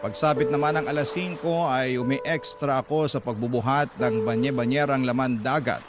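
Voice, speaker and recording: An actor as a dutiful young breadwinner narrating a radio drama in the first person. The mid-pitched male voice is earnest and deliberate, set over a loud bed of music. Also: fairly even intonation, close to an everyday voice. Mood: solemn, earnest. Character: hardworking, dutiful young provider telling a life story.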